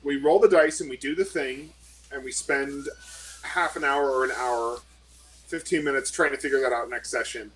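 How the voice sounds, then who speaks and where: A man's voice: medium pitch, normal speed, fairly bright tone, slightly rough, low-quality recording. A man playing a tabletop game, making a case with many fillers and hedges. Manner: persuasive, hedging with fillers. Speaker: player. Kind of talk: casual tabletop game talk.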